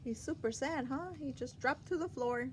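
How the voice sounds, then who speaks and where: A woman's voice: sits high, moderate pace, slight background noise. A woman reading a picture book aloud to young children, expressively, with asides and questions to the listener.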